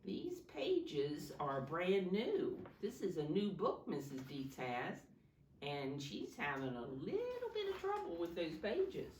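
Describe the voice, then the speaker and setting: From a distance in a roomy, echoing space, a woman reads a storybook to small children. Her voice is low but very animated in its rise and fall. She speaks in a sing-song, child-directed way, pointing things out and asking simple questions. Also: slow pace, a little hiss or hum. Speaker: preschool teacher reading a storybook to children. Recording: roomy echo, distant microphone.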